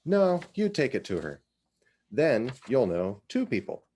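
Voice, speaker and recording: A man voicing a brisk, opinionated small-town woman in a storybook reading, with bright, emphatic, gossipy phrasing. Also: medium pitch, wildly swooping pitch, slightly rough, pitched above the performer's own voice, full character voice.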